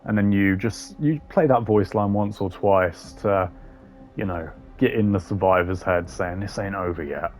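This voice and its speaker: A man's voice: low voice, normal speed, darkish tone, soft background music. A man hosting a gaming video with casual, wry, sardonic commentary and some swearing. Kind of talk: casual tier-list commentary.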